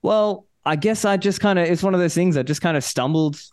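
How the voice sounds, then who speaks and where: A man raised in Australia chatting as a podcast guest, relaxed and thoughtful, with fillers and restarts. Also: high voice, brisk, dry recording, studio quality. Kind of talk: casual interview chat.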